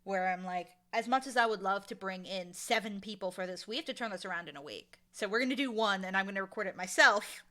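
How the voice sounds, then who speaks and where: A woman with a very bright, forward voice talks in a casual interview. She is animated and emphatic, with an informal, joking delivery and a mid-range pitch. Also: fast, few pauses. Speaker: audio drama showrunner and writer, interview guest.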